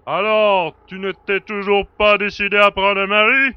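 A man playing a jovial, teasing giant colossus in an audio drama, with a hearty, booming delivery. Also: flat delivery, moderate pace, clean tone, no echo, dull audio, close to an everyday voice.

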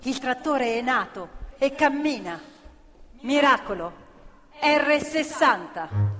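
A woman's voice: big pitch swings, takes it slowly, a crowd in the background. A woman performing dramatic narrative theatre with declamatory, emphatic phrasing. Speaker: stage storyteller and actress. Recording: reverberant hall, loud live music behind. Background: live violin, piano and double bass music.

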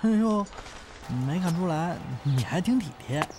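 An actor playing a playful young crosstalk comedian in an audio drama, teasing, exclamatory and mock-earnest. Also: male voice, high voice, expressive, measured pace, noisy background. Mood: groggy, teasing. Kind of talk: audio drama acting with stage patter.

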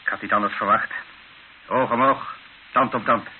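A man's voice: lowish voice, steady tone, moderate pace, lightly acted. Actor as a hard, cynical man, hissy radio. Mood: bitter. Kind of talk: radio drama acting. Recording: hissy, narrow-band old radio recording.

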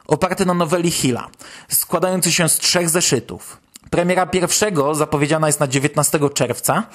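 A man hosting a podcast and commenting on news. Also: fairly high pitch. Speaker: podcast host.

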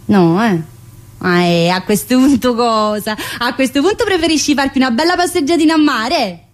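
A woman's voice: high-pitched, moderate pace, lightly acted. A woman playing a cheeky, needling female prison inmate in a colloquial theatre monologue, with a southern Italian accent and a teasing, familiar manner. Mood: teasing, restless. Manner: teasing, familiar, full of rhetorical questions. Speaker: stage actress performing a monologue. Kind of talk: theatrical monologue in colloquial dialect-tinged speech.

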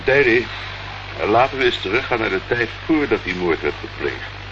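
An actor playing a suspicious police interrogator in a radio play, putting brisk, pointed questions. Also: male voice, lowish voice, big pitch swings. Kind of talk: Dutch radio play acting. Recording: muffled, noisy old radio recording.

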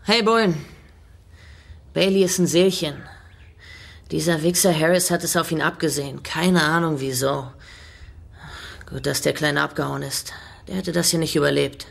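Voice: mid-range voice, fairly even intonation, normal speed, creaky, long pauses, fairly bright tone. An actor playing a tough, foul-mouthed teenage boy in juvenile detention, slangy and defiant, in a radio drama. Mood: defiant, bitter.

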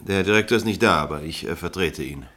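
An actor playing a cynical, bossy veteran newspaper editor of middle age in a German radio play, with a sardonic, needling manner. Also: male voice, low voice, quick pace, pushed and bright. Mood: dry, ironic. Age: middle-aged.

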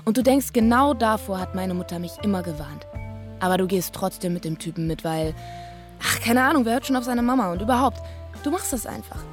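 A young woman played by an actress in a radio play, speaking at a medium pitch over faint music. She confides in a colloquial, disillusioned way, as if straight to the listener. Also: expressive, fairly quick, close to an everyday voice. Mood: wry. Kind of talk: radio drama monologue.

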